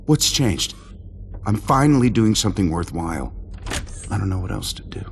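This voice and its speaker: A man's voice: lowish voice, very animated, normal speed, a little hiss or hum. Cocky American man, sardonic billionaire inventor. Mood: defiant. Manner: flippant, sardonic, self-assured. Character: cocky, wisecracking billionaire inventor flying an armored suit. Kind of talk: video game cutscene acting.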